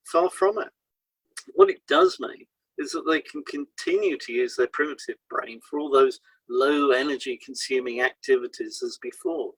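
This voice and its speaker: A man co-hosting an educational podcast, reading scripted explanations in a deliberate, instructive way. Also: medium pitch, a bit bright, breathy edge, no echo, low-quality recording. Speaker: podcast co-host, hypnotherapist. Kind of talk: scripted podcast discussion, read aloud.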